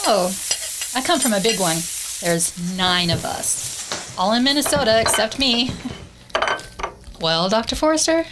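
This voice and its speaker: A woman's voice: on the high side, expressive, unhurried, pauses often, noisy background. A woman playing an anxious, tender young survivor in a radio drama, wistful and earnest in her delivery. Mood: relieved, curious.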